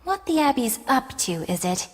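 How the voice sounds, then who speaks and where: A woman playing a thoughtful, knowledgeable young woman in a video game, explaining in a measured way. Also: sits high, sing-song. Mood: pensive. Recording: music under the voice.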